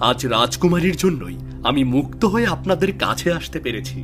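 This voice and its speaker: A man's voice: on the high side, very animated, a little fast, over music. A man playing a respectful, earnest young warrior in a cartoon fairy tale. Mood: respectful, explaining. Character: respectful young warrior, army chief's son freed from a curse.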